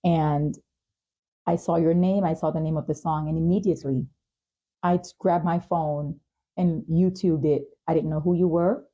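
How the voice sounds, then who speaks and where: A woman's voice: deep voice, steady tone, normal speed, mellow, highs cut off. A woman hosting a talk show, speaking empathetically in an interview conversation and affirming what the guest says. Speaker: talk show and podcast host.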